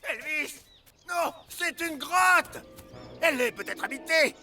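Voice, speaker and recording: A creaky old cartoon grandfather with a French accent. He is a retired general who barks orders and mocks, with lively swings of pitch. Music plays behind him. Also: slow, heavily acted. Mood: alarmed, commanding. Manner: barking, mocking, commanding. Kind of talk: animated series voice acting.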